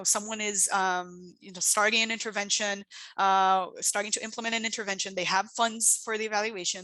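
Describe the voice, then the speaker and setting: A woman's voice: medium pitch, pushed and bright, no room sound, rough recording. A woman whose first language is Portuguese, presenting her work as a researcher in a conversational, explanatory way. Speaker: international development researcher, guest speaker. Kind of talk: presentation talk with slides.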